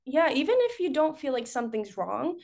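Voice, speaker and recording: Higher-pitched young woman from Costa Rica, chatty. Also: expressive, brisk, vocal fry, darkish tone. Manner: chatty, laughs often while talking. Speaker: podcast guest. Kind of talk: casual personal chat.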